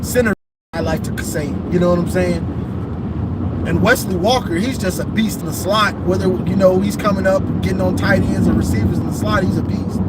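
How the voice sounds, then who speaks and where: High-voiced man talking over loud road noise. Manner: rambling, repeats himself, says "you know what I'm saying". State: losing his voice. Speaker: football show host. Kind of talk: casual football talk. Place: in a vehicle on a bridge.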